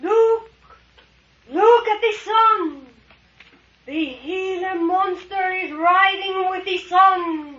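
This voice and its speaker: Frantic woman, extremely high piercing voice, very slow drawn-out cries, 1940s radio drama, echoey muffled recording. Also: a bit bright, a little hiss or hum, exaggerated voice. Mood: frantic, proclaiming. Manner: drawn-out, crying out. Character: shrill, frantic woman proclaiming an omen. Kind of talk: 1940s radio adventure acting.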